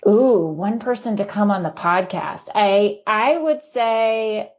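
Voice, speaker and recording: A woman speaking as an expert guest on a podcast, measured and thinking aloud as she answers. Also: mid-range voice, dull audio. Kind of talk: casual interview chat.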